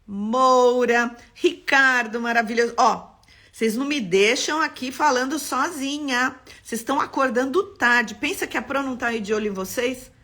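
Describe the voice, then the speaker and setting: Paulista lady architect doing a live class, bright voice, kinda high, chatty and expressive. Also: moderate pace. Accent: Paulista Brazilian Portuguese. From São Paulo, Brazil. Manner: expressive, greets viewers by name. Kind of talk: live-stream lesson.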